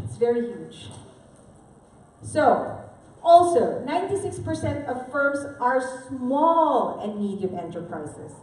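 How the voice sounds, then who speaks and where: A woman from the Philippines presents to a live audience in a relaxed, conversational way, often pausing to check in with the room. Her voice sits a little high and is picked up from well back, washed in heavy hall echo with faint ambient noise underneath. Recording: echoey hall from far off.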